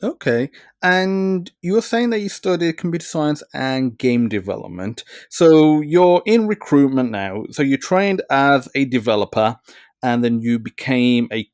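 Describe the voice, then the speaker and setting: A man's voice: mid-range voice, big pitch swings, measured pace, darkish tone, smooth, no echo, clean studio sound. A man hosting a podcast, asking long, rambling questions full of "you know" fillers. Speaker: podcast host.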